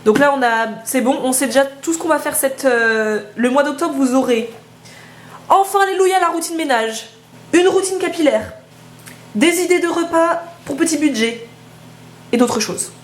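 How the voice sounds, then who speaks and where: Young woman vlogging at home w a cold, chatty, lots of ums, slightly high voice. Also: moderate pace, reverberant, a little hiss or hum. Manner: chatty, many hesitations. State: has a head cold. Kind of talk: casual vlog chat. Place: at home indoors.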